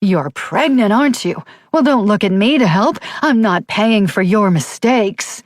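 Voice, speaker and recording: A woman's voice: mid-range voice, animated, a little slow, dry recording, studio quality, pitched above the performer's own voice, close to an everyday voice. A woman voicing a cruel, scornful mother who berates her daughter. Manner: sneering, contemptuous.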